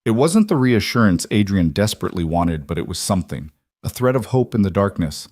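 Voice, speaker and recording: Low-voiced male storyteller, dry close sound. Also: sing-song, fairly quick, darkish tone, no echo. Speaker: storyteller narrator. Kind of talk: scripted dramatic audiobook narration.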